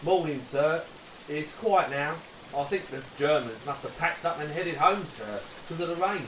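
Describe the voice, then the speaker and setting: An actor playing a respectful, good-humoured army sergeant in a wartime radio drama. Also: male voice, on the high side, moderate pace, lightly acted. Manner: respectful, obliging. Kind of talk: period war radio drama acting. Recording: echoey, distant, hissy with rain.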